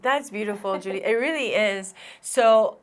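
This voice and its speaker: A woman from Cuba hosting a casual interview, animated and playful with her guest. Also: medium pitch, moderate pace. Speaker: host.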